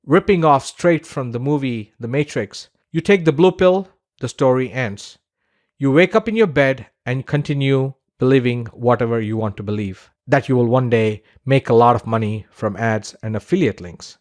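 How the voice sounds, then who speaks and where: A man's voice: medium pitch, normal speed, darkish tone. A man narrating a scripted promotional course introduction as its instructor, speaking persuasively and directly to the listener with emphasized lists.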